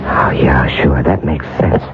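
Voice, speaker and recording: A man's voice: a bass voice, a little slow, music in the background. An actor playing an anxious young male journalist under a curse in a 1970s radio drama, with urgent questions. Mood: worried. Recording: muffled old radio broadcast with hiss.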